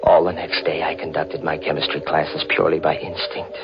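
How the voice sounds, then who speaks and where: A deep-voiced suspense narrator. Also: male voice, little pitch movement, a little fast. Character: nervous young male chemistry teacher narrator. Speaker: narrator and lead in a radio drama. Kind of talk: 1940s radio acting. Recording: muffled old radio recording with music.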